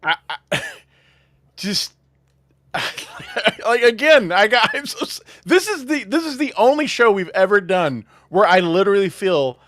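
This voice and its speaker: A lively man hosts a casual podcast over a video call. His voice jumps around in pitch and he breaks into laughter often. The sound is dry and close. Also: measured pace, dry recording. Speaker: podcast host.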